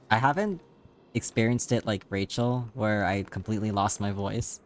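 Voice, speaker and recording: A man streaming live, chatting casually with a slightly raspy, tired voice and rambling, filler-heavy talk. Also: on the low side, slow pace, soft background music. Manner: rambling, many fillers, laughs often.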